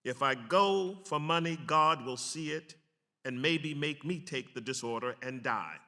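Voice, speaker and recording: A man's voice: on the high side, a little slow, pushed and bright. A man giving a formal, emphatic dramatic reading of a historic account. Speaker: actor reading aloud. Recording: long hall reverb.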